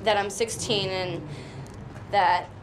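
A woman's voice: medium pitch, fairly even intonation, takes it slowly. Teen girl talking to camera, hesitant, lots of ums, noisy background. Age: teens. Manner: hesitant, with fillers. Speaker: contestant. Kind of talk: interview to camera.

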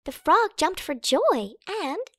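A woman narrating a children's rhyming storybook with an expressive, dramatic storytelling delivery. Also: very high-pitched, slow, dry recording. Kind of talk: expressive children's read-aloud in rhyme.

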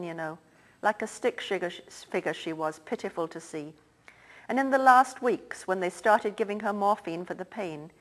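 A woman's voice: mid-range voice, a bit bright, natural voice, rough recording. A woman reading the part of an older, wealthy Irish-born theatre man who talks in a confiding, lilting way. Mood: somber. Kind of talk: audiobook character reading.

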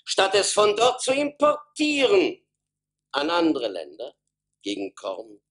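An earnest small-town adviser in a radio drama, slow, pitch jumping all over. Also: male voice, wildly swooping pitch, fairly bright tone, heavily acted. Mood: persuasive. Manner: declamatory, questioning. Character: earnest enterprising townsman advising the emperor. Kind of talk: radio play acting.

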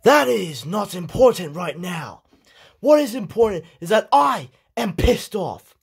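A man's voice: high voice, vocal fry, husky, pitched above the performer's own voice, full character voice. A man voicing a furious, bitter wrestler. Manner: ranting, indignant. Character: angry male pro wrestler, bitter and boastful. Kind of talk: fan-made wrestling roleplay.

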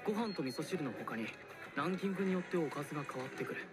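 A man's voice: fairly high pitch, lively intonation, quick pace, close to an everyday voice. An actor voicing an earnest, anxious teenage boy soccer player in a Japanese anime, with an intense, self-doubting manner. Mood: casual, deflated. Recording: loud guitar music behind.

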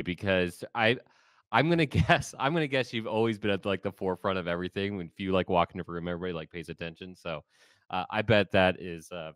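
Deep-voiced man hosting a podcast. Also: smooth, dry recording. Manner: relaxed, thinks aloud with fillers. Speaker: podcast host. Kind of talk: conversational interview. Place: home office with shelves. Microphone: studio mic on boom arm. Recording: video call.

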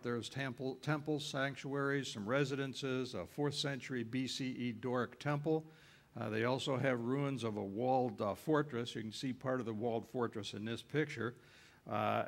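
A man giving an informal travel lecture on a cruise ship, folksy and rambling, with frequent uhs and self-corrections. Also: medium pitch.

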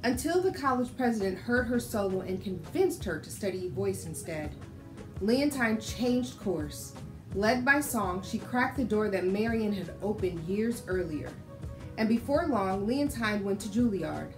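With music playing clearly behind her, an American woman in her thirties reads aloud for children. Her voice sits at an average pitch. She has the instructive, expressive delivery of a storytime host. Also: unhurried.

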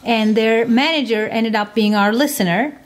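Woman guest, quick bursts. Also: fairly high pitch. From Turkey. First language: Turkish. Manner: many fillers, talks in quick bursts. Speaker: guest, science podcaster. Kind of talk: interview answers.

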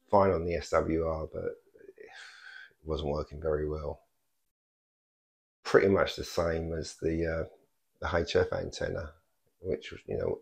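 Older male hobby vlogger, extremely low and gravelly, casual and understated, explaining as he goes. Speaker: amateur radio hobbyist vlogger. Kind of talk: casual explanatory vlog talk.

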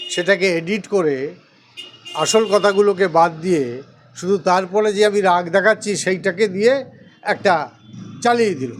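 A man's voice: sits high, slight background noise. A man, speaking emphatically and defensively as a local politician in a news interview, often using rhetorical questions. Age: 60s. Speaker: local politician answering a reporter. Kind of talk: news interview statement, rebutting accusations.

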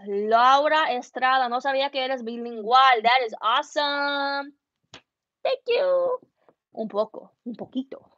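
A woman's voice: high voice, lively intonation, unhurried, fairly bright tone, no room sound, dull audio. A young woman whose first language is Spanish, chatting casually on a livestream from her embroidery studio, switching between English and Spanish. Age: young adult. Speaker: embroidery business vlogger. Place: embroidery studio room, indoors. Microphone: wireless earbuds.

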